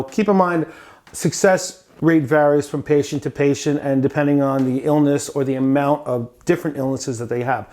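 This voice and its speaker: A man in his forties from the United States, asking questions in a relaxed, conversational way. He rambles a little, repeats himself and steps aside to speak straight to the viewers. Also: fairly high pitch. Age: 40s. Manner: chatty, friendly, digresses to the viewers. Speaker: patient interviewer. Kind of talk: casual interview.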